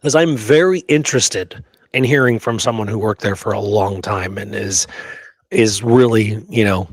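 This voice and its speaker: A man co-hosting a history show in casual discussion, talking informally and haltingly with frequent asides. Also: fairly low pitch, no echo. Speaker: co-host of a history talk show.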